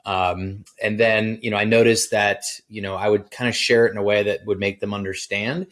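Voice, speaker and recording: A man being interviewed as a guest, telling his story in a relaxed way with many ums and uhs. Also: fairly low pitch, normal speed. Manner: relaxed, filler-heavy, easygoing. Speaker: guest, social media agency founder. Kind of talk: casual interview chat.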